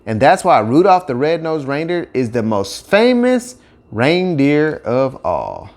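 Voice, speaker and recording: A man narrating a scripted children's story with expressive, emphatic phrasing. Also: fairly high pitch, unhurried.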